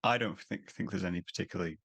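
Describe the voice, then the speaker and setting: Deep nasal-voiced man, dry sound. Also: fast, vocal fry, fairly bright tone, husky, no echo. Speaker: podcast host. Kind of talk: casual interview chat.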